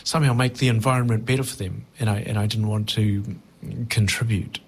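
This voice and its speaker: A middle-aged man talking reflectively as an interview guest, thoughtful and halting, often rephrasing mid-sentence. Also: on the low side, steady tone, a little hiss or hum. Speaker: touring stand-up comedian, interview guest.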